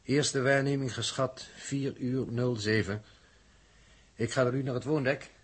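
Husky male crewman reporting. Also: mid-range voice, steady tone, a little slow. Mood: businesslike. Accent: Dutch. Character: businesslike male spaceship crewman reporting navigation data. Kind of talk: 1960s radio drama acting.

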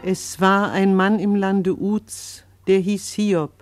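A woman's voice: mid-range voice, fairly even intonation, slow pace, a little mellow, dry recording, soft background music. A woman narrating a radio drama in a solemn, scripture-like recitation.

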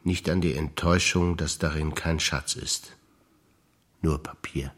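An older man, a stage actor reciting memories in a rhythmic, deliberate listing manner with pauses. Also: extremely low pitch, steady tone, a bit bright.

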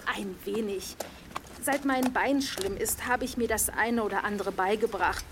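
A woman's voice: medium pitch, measured pace, noisy background, natural voice. A woman playing a chatty, eager servant who gathers herbs, deferential and given to muttering, in a radio drama. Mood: modest, chatty.

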